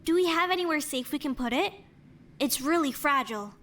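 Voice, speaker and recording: A woman playing a casual, gently curious young investigator in an animated murder mystery. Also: high-pitched, a little fast. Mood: thoughtful, careful.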